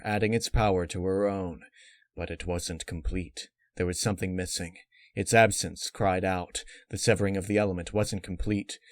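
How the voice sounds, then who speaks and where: Male narrator, lowish pitch, close dry sound, measured dramatic delivery for scripted dark fiction. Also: dry recording. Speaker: story narrator.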